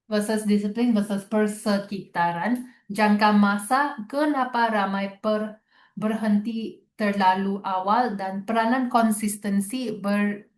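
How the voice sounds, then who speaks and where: A woman's voice: mid-range voice, fairly even intonation, takes it slowly. A young woman from Sabah with a Sabahan Malay accent, hosting a trading webinar in a chatty style and dropping English words into her Malay. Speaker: webinar host and trader.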